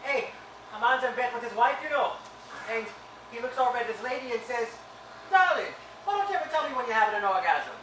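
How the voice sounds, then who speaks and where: An actress playing a chatty hospital worker telling a cheeky joke. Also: female voice, a little high, animated, quick pace, fairly bright tone, a little hiss or hum. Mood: joking. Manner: chatty, joking. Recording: roomy film sound from a distance.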